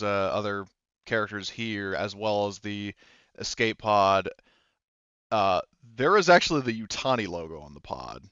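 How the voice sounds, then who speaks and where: Guy game mastering, rambles and thinks out loud, smooth low voice, kinda dull mic. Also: no echo. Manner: halting, rambling, thinking aloud. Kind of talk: improvised narration of a tabletop roleplaying game.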